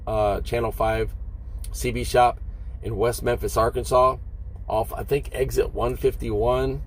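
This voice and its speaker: A man's voice: medium pitch, gravelly, slightly rough. Middle aged american guy, trucker doing a casual vlog, folksy n rambling, normal midrange voice. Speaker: truck driver and vlogger.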